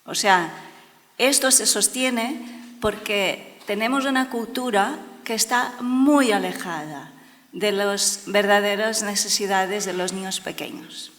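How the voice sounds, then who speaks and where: Brazilian-accented woman, conference speaker, slow measured delivery, earnest and emphatic, long hall reverb. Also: medium pitch, fairly bright tone. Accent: Brazilian Portuguese. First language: Portuguese. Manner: earnest and emphatic, repeats words for stress. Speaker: education speaker and consultant. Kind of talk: conference talk.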